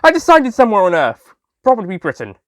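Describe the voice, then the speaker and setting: Casting: male performer as excitable young alien shopkeeper for an audio drama, jolly, very lively intonation, close dry sound. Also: sits high, fast talker, dry recording, voice pushed up. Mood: cheerful. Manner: chatty, self-amused asides, giggly. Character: young excitable alien Time Lord, sweet-obsessed shopkeeper, jolly storyteller. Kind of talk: first-person audio story narration in character.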